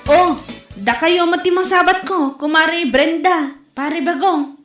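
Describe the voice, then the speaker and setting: A woman's voice: extremely high pitch, measured pace, a bit bright, echoey room, faint music behind. A woman with an Ilocano accent playing a proud, easily slighted village wife who complains in a comedy audio drama. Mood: chatty.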